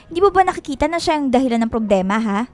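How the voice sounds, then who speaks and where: Very high, needling woman, acted by a performer. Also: expressive, racing, faint music behind. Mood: snide, accusing. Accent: Filipino. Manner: needling, accusatory. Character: snide, fault-finding female office superior. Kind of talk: radio drama acting.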